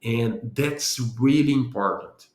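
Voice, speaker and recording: A man's voice: mid-range voice, slow pace, reverberant. A man from Brazil with a Brazilian accent, a guest on a podcast interview, explaining his ideas earnestly and thinking aloud. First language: Portuguese. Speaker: guest, business analysis consultant.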